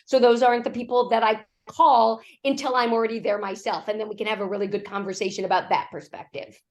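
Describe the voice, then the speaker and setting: A middle-aged woman with a slightly high voice, raspy and worn from a cough. She talks through her points as an interview guest, acting out the lines of people in her stories. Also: quick pace. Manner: animated storytelling, acts out quoted lines. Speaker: executive coach, guest. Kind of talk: conversational interview answers.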